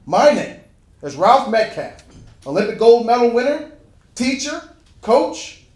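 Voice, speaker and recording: A man playing a proud Olympic medalist and public servant in a scripted stage monologue, recited in a deliberate, formal way. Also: very high voice, very animated, a little mellow, full character voice. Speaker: presenter in a dramatic reading. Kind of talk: first-person scripted historical monologue. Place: indoor room at a live event. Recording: roomy, echoey live hall recording.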